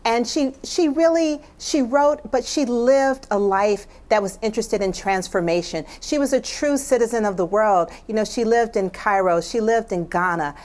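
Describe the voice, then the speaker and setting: A woman's voice: on the high side, normal speed, hardly any pauses, darkish tone. American woman, thoughtful interview guest. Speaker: writer and guest commentator. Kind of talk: thoughtful broadcast interview discussion.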